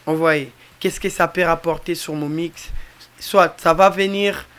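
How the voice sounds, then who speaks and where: A man with a Congolese French accent giving an informal music mixing tutorial in a halting, repetitive way, addressing viewers directly. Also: fairly high pitch, steady tone, fairly bright tone, smooth. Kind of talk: informal tutorial with on-the-spot demonstration.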